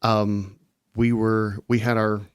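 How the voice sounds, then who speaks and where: A man's voice: on the low side, flat delivery, takes it slowly, clear, resonant tone, no room sound. Rambling storyteller man, dry close sound. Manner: rambling, self-interrupting storyteller. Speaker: guest. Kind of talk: casual podcast storytelling.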